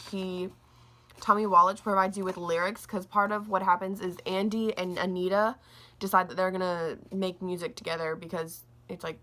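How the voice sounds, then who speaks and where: A woman's voice: mid-range voice, pushed and bright. Young woman with a scratchy throat. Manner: rambling, says like a lot, restarts often. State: scratchy, slightly gravelly throat. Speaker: book reviewer. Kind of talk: casual chatty book review vlog.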